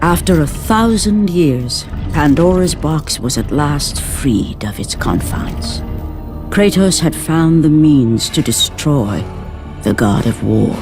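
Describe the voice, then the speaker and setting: Slow, grave, portentous epic narrator. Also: female voice, low-pitched, lively intonation, full character voice. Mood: grave storytelling. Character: grave, solemn narrator of an epic Greek tragedy. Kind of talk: dramatic scripted video game narration. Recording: music behind the voice.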